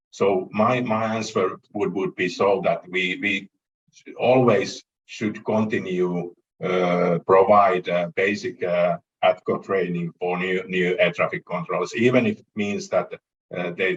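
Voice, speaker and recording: A man with a Finnish accent giving an unscripted panel answer, speaking haltingly and repeating words. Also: low voice, a bit bright. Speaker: panel speaker from air navigation industry. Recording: dull lo-fi audio.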